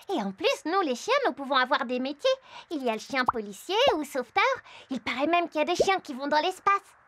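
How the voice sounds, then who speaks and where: A woman voicing a cheerful, excited young female dog in a cartoon. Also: high voice, fairly quick, a little hiss or hum. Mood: playful. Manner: bubbly, excited. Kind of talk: French cartoon dubbing.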